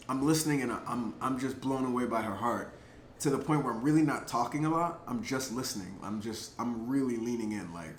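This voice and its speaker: Chatty man telling a story in a roomy space. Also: medium pitch. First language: English. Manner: chatty, lots of "like" and "um" fillers, laughs between thoughts; animated, gets louder at exciting moments. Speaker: vlogger telling a personal story. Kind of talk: casual storytelling to camera. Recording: roomy echo, faint background noise.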